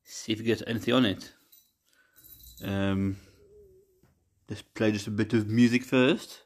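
Slow-talking man with a lowish voice. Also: fairly even intonation, slight background noise. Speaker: app tester running a ghost box session. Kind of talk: casual spoken demonstration.

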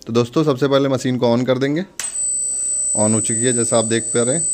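A man's voice: mid-range voice, fairly even intonation, brisk, a little mellow, a little hiss or hum. An Indian man giving a casual step-by-step product demonstration, instructing viewers in a friendly, direct address.

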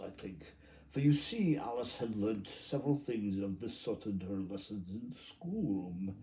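A man in his fifties reading a children's story aloud informally, halting and stumbling, with muttered asides. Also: lowish voice, warm tone, poor audio. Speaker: amateur storybook reader. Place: small room at home, indoors.

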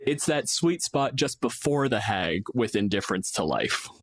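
Guy on a podcast debating stuff casually, blunt and sweary, average pitch, super dry sound. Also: lively intonation, moderate pace, fairly bright tone, breathy edge, dry recording. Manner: blunt, profane. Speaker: podcast co-host and game master. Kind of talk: casual opinionated debate chat.

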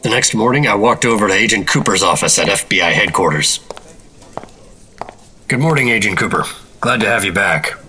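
Man playing a deep-voiced 1950s G-man, clipped and wary. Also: animated, fairly quick, pauses often, bright, forceful tone, a little hiss or hum, poor audio. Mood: suspicious. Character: 1950s male government agent, hard-boiled, dry and wary. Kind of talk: 1950s radio drama narration and dialogue. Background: dramatic music.

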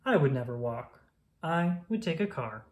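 A woman reading a rhyming children's picture book aloud in a playful, sing-song, expressive manner. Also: sits low, normal speed.

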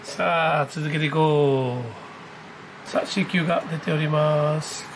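A man giving casual, excited live commentary as a hobby vlogger, reacting aloud in exclamations as he goes. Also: fairly high pitch, unhurried, slight background noise. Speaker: amateur radio operator hosting his own video. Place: indoors at a desk, filming a computer screen.

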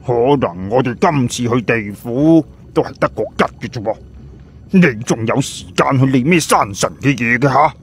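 A man voicing an anxious, nagging male sidekick in an audiobook, with a rough husky voice and colloquial, questioning delivery. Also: mid-range voice, expressive, normal speed, a little hiss or hum, natural voice. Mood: nagging, worried. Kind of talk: audiobook character dialogue.

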